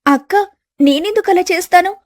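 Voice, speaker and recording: Innocent young daughter-in-law played by a woman, very high voice, gentle, pleading, dry recording, family story. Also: moderate pace, no room sound. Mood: defensive. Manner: pleading, apologetic. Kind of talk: dramatized village family story.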